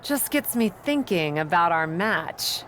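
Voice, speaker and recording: A woman's voice: fairly low pitch, very animated, a little slow, a bit bright, slight background noise. A woman playing a plucky, cocky wrestler in a video game cutscene, speaking in a casual, teasing way. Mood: friendly, teasing.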